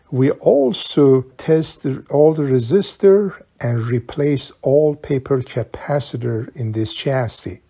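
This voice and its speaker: Gravelly, husky man explaining step by step. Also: medium pitch, mellow, no echo. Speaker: host of a radio repair channel. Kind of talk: instructional video narration.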